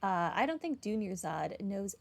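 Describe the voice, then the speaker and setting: A woman's voice: mid-range voice, big pitch swings, normal speed, gravelly. A woman gamer giving casual playthrough commentary, musing to herself as she goes. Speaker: video game streamer. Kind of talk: casual playthrough commentary, some reading aloud. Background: game music.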